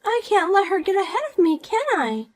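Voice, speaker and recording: A woman's voice: extremely high pitch, sing-song, fairly quick, faint music behind, pitched above the performer's own voice. A woman voicing a vain, spiteful young woman who mocks and pushes others around. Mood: taunting. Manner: mocking, pushy, self-important.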